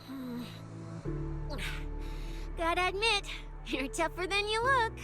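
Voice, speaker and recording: A woman playing a playful, food-loving young police specialist in an animated game cutscene, with an expressive, mock-official manner. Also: very high-pitched, takes it slowly, heavily acted. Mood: concerned, probing. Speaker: video game voice actor. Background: background music.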